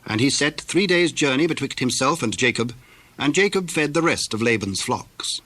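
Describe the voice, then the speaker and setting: Male narrator for Bible drama: formal, measured, medium pitch, very little room echo. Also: lively intonation, sharp tone.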